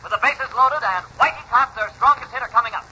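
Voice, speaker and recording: A man playing a brisk baseball announcer in a 1940s radio drama. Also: very high voice, sharp tone, exaggerated voice. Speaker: sports announcer. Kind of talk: 1940s radio sports commentary acting. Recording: noisy, tinny 1940s radio recording.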